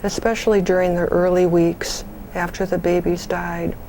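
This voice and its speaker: A woman playing a patient bereavement counselor on a support call, gentle, measured and reassuring in the way she talks. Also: on the low side, level tone, background noise, lightly acted. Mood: calm, reassuring. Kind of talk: role-played counseling phone conversation.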